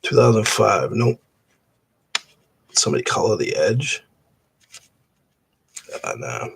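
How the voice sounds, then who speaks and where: A man's voice: on the low side, sing-song, slow pace, gravelly, a little hiss or hum. A man chatting casually in a live stream while sorting coins, rambling with many fillers and restarts. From Boston area. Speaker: coin roll hunter streaming.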